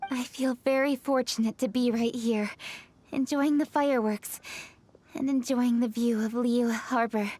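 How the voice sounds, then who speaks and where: A woman playing an earnest, poised young woman of high office in a video game, speaking politely and sincerely in measured, articulate phrases. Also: fairly even intonation, a little mellow. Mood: warm, sincere.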